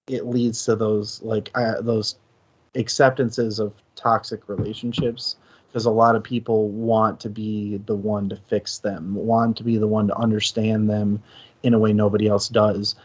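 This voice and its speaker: A man co-hosting a casual discussion, thinking aloud haltingly and correcting himself as he goes. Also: on the low side, flat delivery, darkish tone, highs cut off. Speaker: co-host of a book discussion stream.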